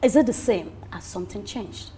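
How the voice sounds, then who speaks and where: A woman's voice: mid-range voice, expressive, normal speed, mellow, a little hiss or hum. A woman interviewer asking questions in a conversational way. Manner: conversational, with frequent "you know" fillers.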